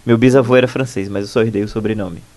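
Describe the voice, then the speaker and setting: A man's voice: fairly low pitch, steady tone, fast talker, darkish tone. A man in his thirties from Bahia, Brazil, a postdoctoral researcher answering interview questions in a fluent, explanatory and enthusiastic way.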